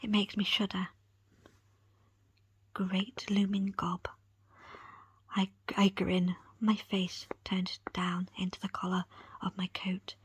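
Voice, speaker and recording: A woman narrating a first-person story as an ASMR audiobook reader, in a breathy, unvoiced whisper. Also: medium pitch, level tone, takes it slowly, long pauses, no echo.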